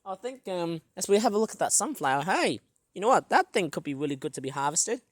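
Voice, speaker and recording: A vlogger with a hoarse, sore throat, narrating a casual outdoor tour of a backyard garden in a rambling, excitable way, filming on a tablet. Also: mid-range voice, fast talker, dry recording, studio quality. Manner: rambling, filler-heavy, excitable.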